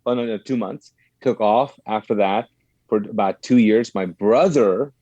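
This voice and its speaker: A man's voice: fairly low pitch, measured pace, dark tone, dry recording, lo-fi sound. A middle-aged man from Kuwait, a podcast guest telling personal stories conversationally, with asides and self-corrections.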